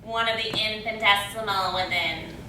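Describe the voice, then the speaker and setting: A woman's voice: mid-range voice, moderate pace, sharp tone, lightly acted. A woman acting an emphatic, oracular chorus voice, declaiming theatrically in an absurdist stage play. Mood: declamatory. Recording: very echoey distant stage, noisy.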